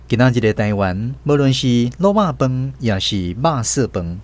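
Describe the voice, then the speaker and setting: A man with a Taiwanese accent giving expressive, scripted storytelling narration for a radio drama. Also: mid-range voice, moderate pace. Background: plucked guitar music.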